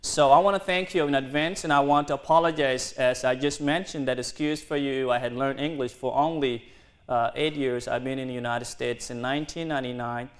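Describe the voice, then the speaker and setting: A man in his twenties from South Sudan, a native Dinka speaker, giving an earnest university talk in halting, non-native English with restarts. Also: mid-range voice, steady tone. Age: 20s. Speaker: guest speaker. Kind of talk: university lecture.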